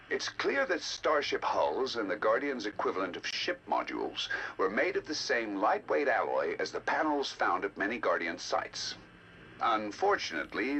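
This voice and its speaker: An actor playing a learned, eager researcher, narrating game audio logs in a measured, articulate, intrigued manner. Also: male voice, on the low side, sharp tone, slight background noise, full character voice, poor audio. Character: learned male researcher, eager and fascinated by alien ruins.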